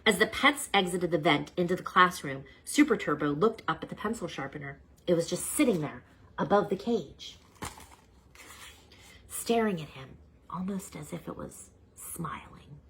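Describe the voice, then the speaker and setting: Expressive woman reading a children's book. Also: fairly low pitch, moderate pace, a little hiss or hum, rough recording. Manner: expressive storyteller. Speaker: read-aloud narrator. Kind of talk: children's book read-aloud.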